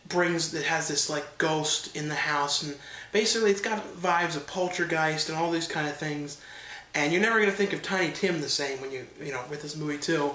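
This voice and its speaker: A man's voice: fairly high pitch, a bit bright, echoey room. A man with a stuffed-up nose from allergies giving a loose, rambling casual review, with many fillers and restarts. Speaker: horror film reviewer.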